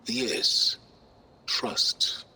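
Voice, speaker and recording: An actor playing an arrogant, secretive, calculating scholar in a video game cutscene, speaking in a pompous, deliberate and articulate way. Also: male voice, bright, forceful tone, slight background noise, full character voice. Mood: smug, dry.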